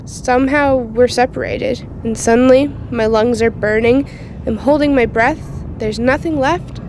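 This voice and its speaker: A woman's voice: fairly high pitch, measured pace, darkish tone, slight background noise, close to an everyday voice. A woman playing a teenage girl patient in a radio drama, confiding her eerie nightmares in a colloquial, youthful way. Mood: frightened, tense. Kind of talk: first-person radio drama narration and dialogue.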